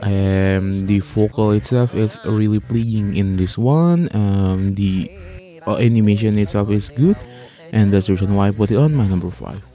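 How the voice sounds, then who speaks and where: A man hosting a countdown review as a voice-over, speaking haltingly and stretching key words. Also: fairly low pitch. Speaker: host of an anime music ranking channel. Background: music playing under the voice.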